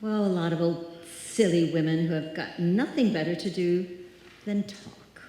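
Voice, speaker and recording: A woman's voice: lowish voice, normal speed, mellow, natural voice. A woman playing a cool, dismissive small-town spinster in a live old-time radio play, breezy and scoffing. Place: live stage performance in a hall. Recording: very echoey hall, faint noise.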